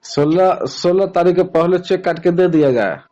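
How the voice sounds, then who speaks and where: Man, Bihari accent, high voice, explanatory and repetitive, casual phone chat, dull lo-fi sound. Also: normal speed, dark tone. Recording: dull lo-fi recorded phone call.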